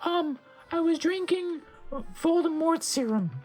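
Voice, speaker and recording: Female professor played by narrator: very high, tearful, pleading, melodramatic comic storybook figure. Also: lively intonation, takes it slowly, a little mellow, soft background music, exaggerated voice. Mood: distraught.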